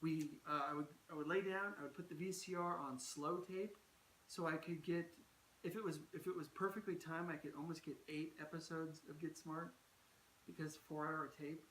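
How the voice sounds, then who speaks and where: A man's voice: a little high, fairly even intonation, moderate pace, a bit bright. A man co-hosting a casual movie review chat, talking in a rambling and teasing way. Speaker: co-host. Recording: echoey room with faint hiss.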